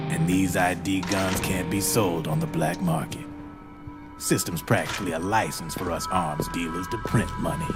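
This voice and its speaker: A low-voiced, smug arms dealer from a video game cutscene. He pitches his trade in street slang like a cynical hustler, with loud music running underneath.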